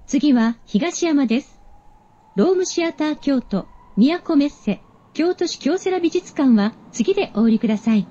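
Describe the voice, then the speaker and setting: A woman's voice: high-pitched, animated, a little slow, warm tone. A woman giving formal, polite scripted train announcements with careful diction. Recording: dull recording with train noise. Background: subway train ambience.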